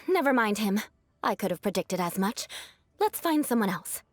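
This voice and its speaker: A woman's voice: medium pitch, very animated, normal speed, faint music behind. A woman voicing a proud young noblewoman knight in a video game, with a formal, haughty manner. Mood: resigned. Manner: haughty, formal archaic phrasing.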